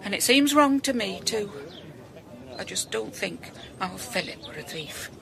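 A woman's voice: mid-range voice, animated, a little slow, a loud crowd. An actress playing a middle-aged grieving mother in a radio drama, speaking plainly. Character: grieving mother of a dead young man. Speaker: bereaved mother. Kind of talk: BBC radio drama acting. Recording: radio play with clear background ambience.

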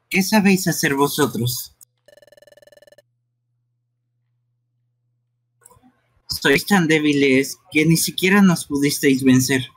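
A man voicing a timid, earnest young furry creature in an amateur game dub, speaking hesitantly. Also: a little high. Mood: defiant. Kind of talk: amateur live game dubbing.

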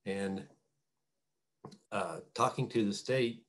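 A middle-aged farmer with a fairly low voice answers questions on a video call. He is a plain-spoken man, talking in a casual, folksy way. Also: slow pace, a bit bright. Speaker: farmer, guest. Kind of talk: casual interview talk. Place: small wood-panelled room at home. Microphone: computer mic on a video call.

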